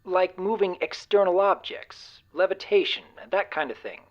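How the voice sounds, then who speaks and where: A woman playing an inquisitive, businesslike team leader in an audio drama, probing and brisk. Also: fairly low pitch, muffled recording, lightly acted. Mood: curious.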